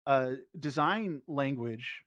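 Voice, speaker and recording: A man's voice: mid-range voice, lively intonation, slow pace, fairly bright tone. Male panelist, mid-range voice, conversational and enthusiastic, slightly muffled voice chat. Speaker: panelist, performer. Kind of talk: casual panel discussion. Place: virtual reality social app session.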